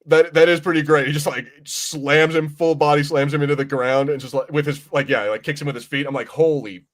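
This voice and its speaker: A man's voice: a little high, fairly even intonation, a little fast. Casual, slangy male podcast host. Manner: informal, slangy, mildly profane. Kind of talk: casual film review chat.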